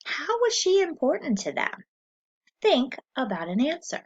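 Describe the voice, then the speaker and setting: A woman teacher reading a lesson aloud to children, slow and clear, pausing so they can answer. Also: high-pitched, wildly swooping pitch, lo-fi sound.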